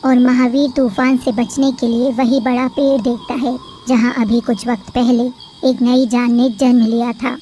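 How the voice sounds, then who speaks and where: A woman narrating a cartoon story in an even storytelling manner. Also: fairly high pitch, measured pace, few pauses, a little hiss or hum.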